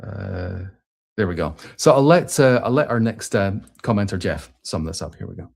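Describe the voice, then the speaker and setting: A man's voice: on the low side, animated, moderate pace, gravelly, a little mellow. A man hosting a casual question-and-answer show, thinking aloud as he responds to comments, with frequent restarts and filler sounds. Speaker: host.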